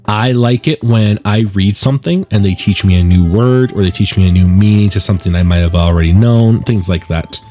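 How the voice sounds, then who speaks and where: A man's voice: lowish voice, fairly quick, hardly any pauses, dark tone, faint music behind. A man hosting a gaming video, giving conversational, opinionated let's play commentary and reading out narration. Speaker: gaming video host and musician.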